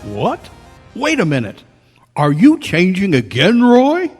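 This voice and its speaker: A formal, old-fashioned colonel played by a man speaks slowly in a husky voice with lively swings of pitch. It is radio-play acting in an echoey hall. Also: soft background music, heavily acted. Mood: flustered, indignant. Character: stiff, puzzled but courteous military colonel. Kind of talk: old-time radio style stage acting. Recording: echoey hall at a club meeting.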